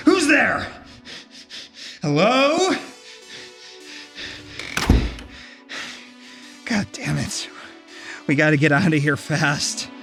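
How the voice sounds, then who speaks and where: A man's voice: on the high side, gravelly, heavily acted. A man playing a desperate, foul-mouthed, cornered adult in an intense audio drama. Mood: angry, panicked. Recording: prominent music under the voice.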